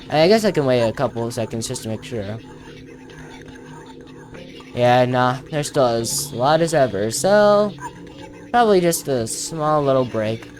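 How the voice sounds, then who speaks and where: A man's voice: mid-range voice, lively intonation, measured pace, pauses often, darkish tone, soft background music. A man commentating casually on his own video game playthrough, thinking aloud as he plays.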